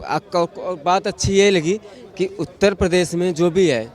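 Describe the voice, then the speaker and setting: A man's voice: sits high, steady tone, unhurried. A man in a casual street interview, plain-spoken and insistent, often repeating himself to press his point.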